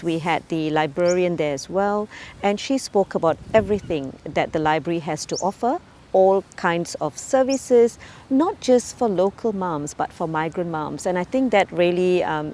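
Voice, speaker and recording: Earnest woman, lower voice. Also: a little mellow, slight background noise. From Malaysia. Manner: measured and earnest, with frequent um and uh fillers. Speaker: community cultural ambassador. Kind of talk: community profile interview.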